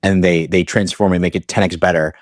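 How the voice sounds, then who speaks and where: A man's voice: low voice, level tone, brisk, no echo, clean studio sound. A young man hosting a podcast, asking long rambling questions full of fillers and restarts. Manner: rambling, hesitant, many fillers. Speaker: podcast host. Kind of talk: casual interview questions.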